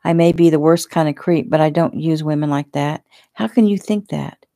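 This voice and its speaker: A woman narrator voicing a weary, earnest young man in an audiobook, halting and placating in manner. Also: sits low, warm tone, no echo, clean studio sound.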